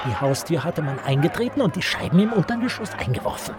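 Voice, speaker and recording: A man's voice: a little high, very animated, quick pace, background noise. An actor playing a deferential, wordy traveller recounting his grievances meticulously to a superior in a German radio play. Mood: agitated recounting.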